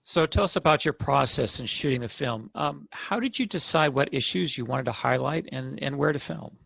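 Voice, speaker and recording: A man hosting and moderating a panel discussion over a video call, giving formal, measured introductions. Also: mid-range voice, animated, creaky, bright, forceful tone, dry recording, low-quality recording. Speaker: moderator, energy institute director.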